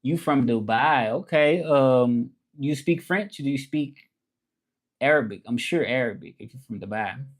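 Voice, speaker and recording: A man with a Southern American accent hosts a relaxed live stream in a mid-pitched voice. He talks straight to his viewers, repeats phrases and slides from English into French mid-thought. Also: a little slow. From Memphis, Tennessee, USA. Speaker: live streamer and language teacher. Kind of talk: casual live-stream chat, switching between English and French.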